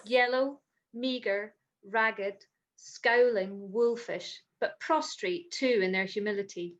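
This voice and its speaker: A woman's voice: medium pitch, slow. A woman giving scripted dramatic narration, recorded over a video call. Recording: dull video-call audio.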